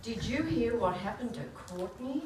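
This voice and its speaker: A woman's voice: a little high, expressive, moderate pace, heavy background noise, natural voice. A woman playing a chatty, scandal-loving gossip with an exaggerated manner in a live stage comedy. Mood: gossipy. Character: chatty, scandal-loving gossiping female shopper or checkout worker. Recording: echoey theatre, distant microphone.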